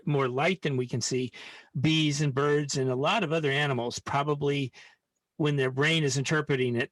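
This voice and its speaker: A man's voice: fairly high pitch, a bit bright, dry recording. A man leading an informal live online science lesson in a hesitant, rambling way, often restarting his sentences. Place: online video call.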